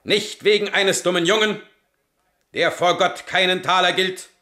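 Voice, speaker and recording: An actor playing a gruff, hot-tempered old soldier king in a 1970s radio play, domineering and harsh. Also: high voice, fairly even intonation, measured pace, sharp tone, roomy sound. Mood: lordly. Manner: blustering, domineering. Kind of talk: 1970s radio drama acting.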